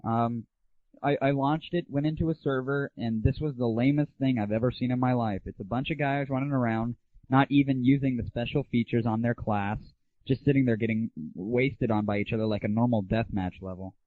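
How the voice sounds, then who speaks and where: A man's voice: mid-range voice, fairly even intonation, fairly quick, no echo. A man hosting a gaming audio show, talking casually with a blunt, irreverent, opinionated manner. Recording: muffled, phone-like lo-fi 1990s internet audio.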